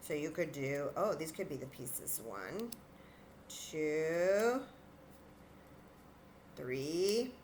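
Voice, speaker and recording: A woman's voice: sits low, expressive, drawn-out words, pauses often, darkish tone, slight background noise. A woman teaching young children in an interactive demonstration, patient and encouraging, thinking aloud as she goes. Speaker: children's story time teacher.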